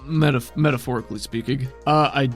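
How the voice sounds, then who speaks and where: A man's voice: mid-range voice, fairly even intonation, a little fast, faint music behind, close to an everyday voice. A man playing a mild, stressed father in his fifties in a tabletop game, hesitant and self-effacing. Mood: nervous, affectionate.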